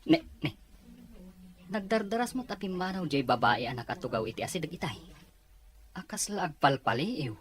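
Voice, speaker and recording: A man's voice: on the high side, moderate pace, long pauses. A man playing a gossipy, teasing elder brother in an Ilocano audio drama, with an Ilocano accent. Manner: teasing, animated.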